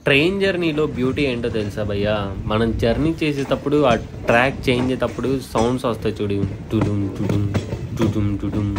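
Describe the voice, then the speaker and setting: A man's voice: fast talker, over music. A man with a Telugu accent chatting as a travel vlogger inside a moving train, explaining stations as he goes. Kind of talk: casual travel vlog commentary. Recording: train rumble in background.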